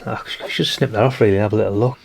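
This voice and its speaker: A British man with a gravelly voice giving a casual, rambling hands-on commentary as he thinks aloud. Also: lowish voice, fairly even intonation, rapid-fire, a little hiss or hum. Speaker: hobbyist radio channel host.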